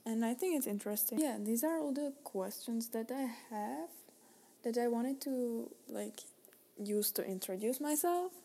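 A woman's voice: a little high, expressive, a little slow, creaky, dark tone. A young Czech woman with a Czech accent, hosting a solo podcast and talking in a rambling way, full of fillers and restarts. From Czech Republic. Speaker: podcast host.

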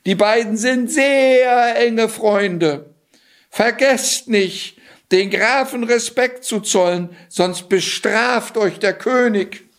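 A man's voice: high voice, fairly bright tone, voice pushed up, exaggerated voice. A man voicing a clever, brave male cat in boots who talks slyly and theatrically in a storybook. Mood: proclaiming. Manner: quick-witted, theatrical, sly.

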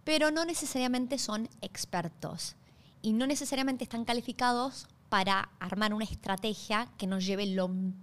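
A woman's voice: fairly high pitch, moderate pace, fairly bright tone. A woman with an Argentine accent hosting a podcast, talking conversationally in an explanatory way and checking in with listeners. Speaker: podcast host.

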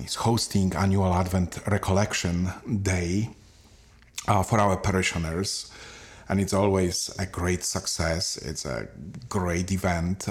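A man hosts a parish podcast in a very low voice. He talks slowly and haltingly, with many pauses and fillers. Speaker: parish priest and podcast host. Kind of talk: podcast announcement and interview.

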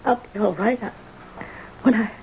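High-pitched anxious young woman. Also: darkish tone. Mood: weary, pleading. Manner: halting, breathless, pleading. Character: anxious, distraught young granddaughter. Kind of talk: old-time radio drama acting. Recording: muffled, hissy old radio recording.

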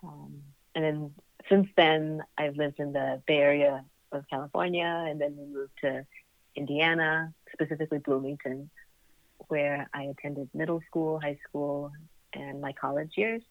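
A middle-aged woman from Singapore and the United States, speaking as an interview guest in a reflective, halting way over a narrow call line. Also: low voice, level tone, vocal fry, no echo. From born in Singapore, raised there and in the United States. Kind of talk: reflective personal conversation. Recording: narrow, phone-like call audio.

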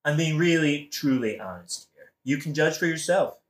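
A man playing a smooth-talking, scheming king in a satirical audio play, with a glib modern delivery. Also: on the high side, animated, normal speed, close to an everyday voice. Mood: placating, self-satisfied. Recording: roomy, echoing audio-play recording.

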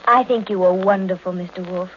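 A woman's voice: lowish voice, fairly even intonation, fairly quick, highs cut off, natural voice. Coy young radio ingénue played by a woman, noisy recording. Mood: adoring. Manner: coy, gushing. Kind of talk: old-time radio mystery acting.

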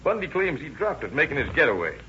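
An American actor playing a shrewd, confident police officer with brisk, cajoling talk in a 1930s radio drama. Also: male voice, a little high, very animated, bright, forceful tone. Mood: confident scheming. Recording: muffled, noisy 1930s radio broadcast.

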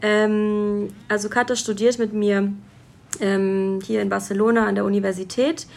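A woman's voice: medium pitch, flat delivery, normal speed, gravelly, a little mellow. Young female podcast host, chatty and friendly, recorded at a guest's home. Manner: friendly, many fillers, affirming hums. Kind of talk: casual interview chat. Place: guest's home in a city flat.

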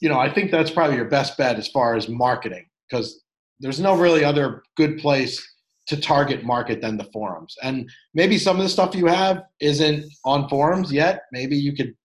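Male podcast host, rambling advice-giver, halting delivery, average pitch. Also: low-quality recording. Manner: rambling, halting, full of fillers. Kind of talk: casual advice chat.

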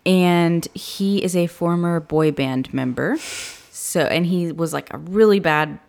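A woman co-hosting a book podcast, with a slightly raspy voice, talking casually and laughing often. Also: on the low side, slow pace, darkish tone. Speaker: podcast co-host. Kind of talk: casual chat, book review.

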